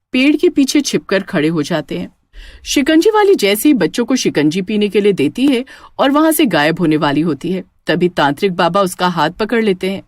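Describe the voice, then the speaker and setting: Lively woman narrating a story. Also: medium pitch, dry recording, clean studio sound. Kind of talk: scripted dramatic story narration.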